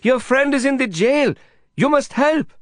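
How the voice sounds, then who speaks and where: High guide voice, breathless, pleading, dry close sound. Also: male voice, lively intonation, unhurried, no echo. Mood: urgent pleading. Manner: breathless, pleading, broken English. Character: excitable young male slum guide. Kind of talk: audiobook character voice.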